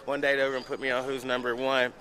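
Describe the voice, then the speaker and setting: A man's voice: mid-range voice, flat delivery, quick pace, pushed and bright. A man in his forties talking casually to a camera. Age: 40s.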